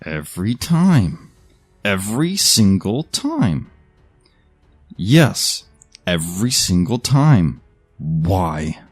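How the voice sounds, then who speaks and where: Man acting a low, brooding, menacing avenger. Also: sing-song, very slow, pauses often, husky, dry recording, soft background music, full character voice. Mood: anguished rambling. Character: vengeful man turned cold-blooded killer, bitter and menacing. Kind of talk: dramatized audio story acting.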